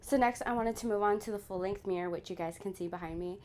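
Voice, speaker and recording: A woman's voice: medium pitch, fast talker, loud music behind the voice. A young woman chatting casually to her viewers as she gives a room tour, talking to them directly. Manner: chatty, addresses viewers as you guys. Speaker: lifestyle vlogger.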